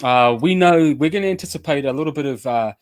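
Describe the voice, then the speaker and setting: A man's voice: fairly high pitch, fast, no room sound. Upbeat Kiwi man hosting a radio chat. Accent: New Zealand. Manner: upbeat, relaxed slang, frequent restarts. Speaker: show host.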